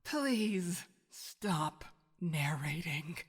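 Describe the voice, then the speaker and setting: A woman plays a menacing femme fatale in a detective audio drama. Her voice sits fairly low and moves expressively, curt and taunting. It rings with a long reverb. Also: expressive, drawn-out words, breathy edge, full character voice. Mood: cool, dismissive. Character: cool, menacing female villain, the detective's old flame.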